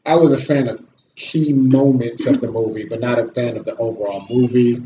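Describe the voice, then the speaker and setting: Man, mellow voice, casual opinionated chat, blunt, complaining, echoey room, slightly dull recording. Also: mid-range voice, steady tone, fairly quick. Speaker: video host and movie reviewer. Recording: roomy echo, dull audio, slight noise.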